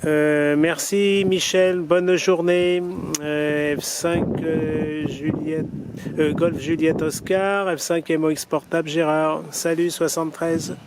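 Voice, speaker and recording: A man's voice: on the high side, little pitch movement, slow. A man making a casual amateur radio contact from an outdoor portable station, speaking in operator procedure. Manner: spells call signs in phonetic alphabet. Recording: noisy outdoor recording, vehicle and urban sounds.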